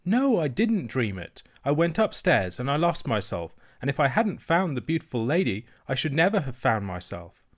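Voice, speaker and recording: A man's voice: big pitch swings, moderate pace. A man voicing a young princess girl, earnest and proud, in a raised childlike voice. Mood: pleading. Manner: high, earnest childlike pleading.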